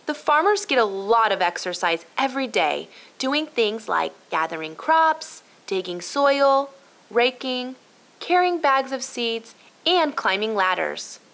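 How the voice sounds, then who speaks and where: Friendly storybook shopkeeper acted by a woman narrator, upbeat, instructive, with very lively pitch. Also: measured pace, exaggerated voice. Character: friendly, helpful woman shopkeeper explaining to children.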